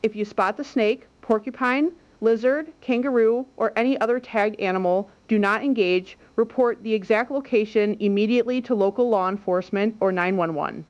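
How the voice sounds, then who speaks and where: A woman reading a formal scripted public safety bulletin as an alert announcer, measured and instructive. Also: mid-range voice, a little mellow, highs cut off.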